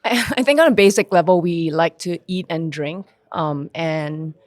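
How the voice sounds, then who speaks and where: A woman from Singapore who owns a restaurant, talking in a measured, thoughtful way in a casual podcast conversation. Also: lowish voice, darkish tone, no room sound. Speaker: restaurant owner.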